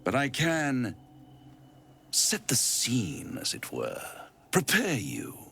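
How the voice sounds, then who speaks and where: Game villain: urbane infernal schemer, slow and grandly theatrical, with animated swoops and quiet menace. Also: male voice, mid-range voice, gravelly, a little hiss or hum, full character voice. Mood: sly, theatrical. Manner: theatrical, silky, verse-quoting, grandiloquent. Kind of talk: video game dramatic acting.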